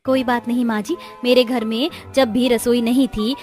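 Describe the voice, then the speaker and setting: Cartoon youngest bahu, played by a woman: meek, earnest, high-pitched, music underneath. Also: fairly even intonation, moderate pace, darkish tone, close to an everyday voice. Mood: accommodating. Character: humble, hard-working youngest daughter-in-law from poor home.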